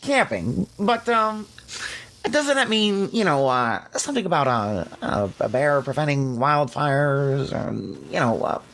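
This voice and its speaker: A man's voice: on the high side, big pitch swings, measured pace, fairly bright tone, full character voice, rough recording. A man playing a fussy, skeptical, anxious space traveler in an audio drama, whiny and easily flustered. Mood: flustered, hesitant.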